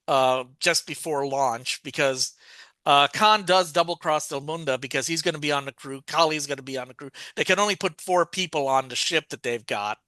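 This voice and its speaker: Man, podcast co-host, rambling recap, bright tone, fairly high voice, dry sound. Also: moderate pace, no room sound. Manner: rambling, many restarts and fillers. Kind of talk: casual plot recap.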